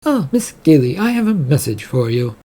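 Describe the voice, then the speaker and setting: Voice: mid-range voice, sing-song, normal speed, mellow. A narrator voicing a polite, formal ship's computer in a science fiction story.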